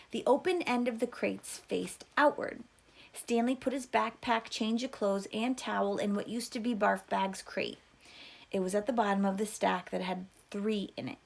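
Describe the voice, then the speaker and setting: A woman's voice: medium pitch, creaky. A woman reading a children's novel aloud as a teacher would.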